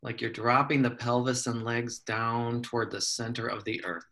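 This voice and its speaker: A man leading a guided meditation, giving gentle, measured instructions with long pauses between phrases. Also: mid-range voice, little pitch movement, vocal fry.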